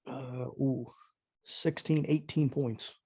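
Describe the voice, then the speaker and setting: A man's voice: mid-range voice, fairly even intonation, takes it slowly, dark tone, breathy edge. A man playing a tabletop role-playing game, chatting casually, in a dull lo-fi recording.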